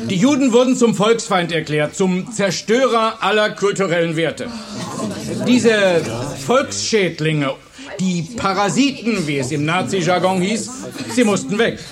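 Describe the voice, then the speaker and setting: Emphatic history teacher in a radio drama, pretty high voice, people murmuring behind. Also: male voice, lively intonation, unhurried, crowd noise. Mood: earnest, explaining. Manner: emphatic, didactic. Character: earnest, engaged male history teacher lecturing his class. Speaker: actor. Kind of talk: German radio play dialogue.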